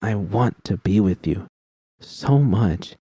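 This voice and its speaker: A man voicing a troubled young woman technician who swears often and speaks haltingly. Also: on the low side, animated, warm tone, breathy edge, no room sound, exaggerated voice. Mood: tender. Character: troubled young woman tech, self-loathing, foul-mouthed.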